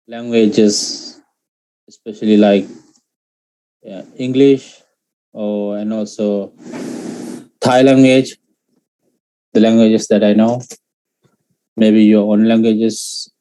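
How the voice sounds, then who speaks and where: Sinhalese-native male grammar teacher, very slow, halting, dark tone, video-call mic. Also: mid-range voice, poor audio. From Sri Lanka. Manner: slow and halting, with many fillers and restarts. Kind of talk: online lecture.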